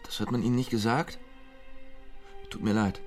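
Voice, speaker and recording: An actor playing a frightened young male fugitive who turns himself in, talking in a halting way and stammering over repeated words. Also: mid-range voice, steady tone, faint music behind, natural voice. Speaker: suspect turning himself in. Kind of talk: German radio drama acting.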